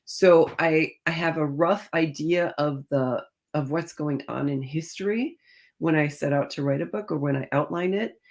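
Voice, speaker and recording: A woman speaking as a guest author in a conversational interview, thinking aloud as she tells stories in an animated manner. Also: low-pitched, moderate pace. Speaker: guest author discussing own novels.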